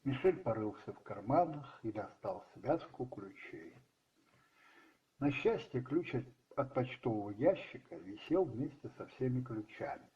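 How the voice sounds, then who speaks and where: Male narrator for radio drama: theatrical and brooding, mid-pitched, slightly dull sound over music. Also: lively intonation, a little slow, dark tone.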